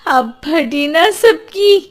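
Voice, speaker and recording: Lady doing a jumpy young wife in a cartoon story, high voice, exasperated and easily scared. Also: lively intonation, very slow, heavily acted. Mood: indignant. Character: frightened, exasperated young wife who saw a ghost.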